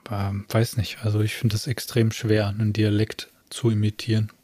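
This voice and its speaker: Low dark-voiced man, musing casually. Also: completely flat delivery, normal speed, dry recording. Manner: thoughtful, searching for words. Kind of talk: casual chat.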